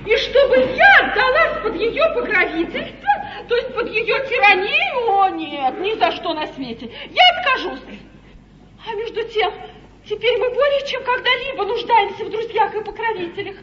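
A woman's voice: very high-pitched, expressive, normal speed, background noise. An actress playing an earnest, spirited young woman at court, declaiming in 1950s stage comedy style. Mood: anxious, defiant. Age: young adult. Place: theatre stage, recorded live from the hall. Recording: echoey, muffled 1950s recording from theatre hall.

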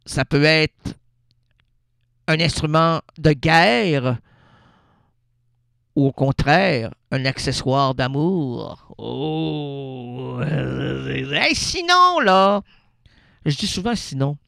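A Québécois man rambling playfully on the radio, drifting from one thought to the next and talking back to himself. His voice is fairly high for a man, with a gravelly edge. The studio sound is close and dry. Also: animated, extremely slow, long pauses, bright, forceful tone, dry recording. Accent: Québécois French. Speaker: radio host. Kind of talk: rambling absurd monologue.

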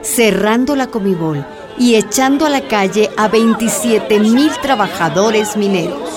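A woman narrates a radio drama in measured, declarative phrases. Her voice sits in the middle register, with music clearly playing underneath. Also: medium pitch, animated, crowd noise.

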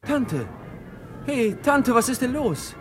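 A man's voice: extremely high pitch, big pitch swings, slow pace, a bit bright, exaggerated voice. A man playing an agitated nephew of an old aunt in a 1960s German radio play. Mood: alarmed. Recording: old radio play, busy fairground crowd and music.

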